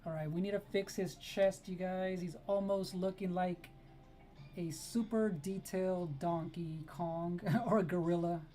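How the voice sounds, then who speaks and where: A woman's voice: on the low side, steady tone, a little slow, warm tone, faint music behind. A woman whose first language is Spanish, chatting casually with viewers on a live art stream while she draws, greeting them by name and laughing often.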